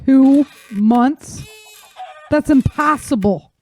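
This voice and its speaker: A woman's voice: high voice, very slow, dark tone, soft background music, heavily acted. A woman playing an injured, bewildered survivor in an audio drama, dazed and anxious. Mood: disbelief.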